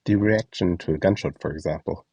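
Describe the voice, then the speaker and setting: A man giving a scripted explainer narration as a host, instructing clearly step by step. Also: sits low, brisk, recorded from a distance, very noisy. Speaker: host and instructor of a voice donation project.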